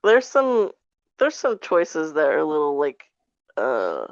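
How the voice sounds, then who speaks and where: A woman chatting casually on a podcast, a bit halting as she searches for her words. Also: deep voice, huge pitch swings, dry recording, highs cut off. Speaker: podcast panelist. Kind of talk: casual chat.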